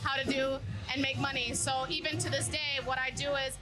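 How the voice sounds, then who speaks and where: Woman interview guest, high voice, casual storytelling, loud music in the background. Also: little pitch movement, fairly quick, poor audio. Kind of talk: casual anecdote. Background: music from a live show.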